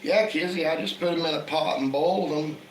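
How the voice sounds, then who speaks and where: Hoarse man chatting in an echoey room. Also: a little high, a little fast. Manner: relaxed, chatty, greets viewers by name. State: hoarse from a cold. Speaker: livestream host. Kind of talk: casual livestream chat. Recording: echoey room with faint background noise.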